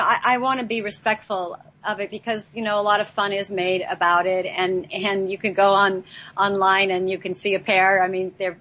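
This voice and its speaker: A woman with a mid-pitched voice chats as an interview guest. She explains herself earnestly and a little hesitantly. Light background noise sits under her voice. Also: a bit bright, poor audio. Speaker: radio show guest. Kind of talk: casual conversational interview.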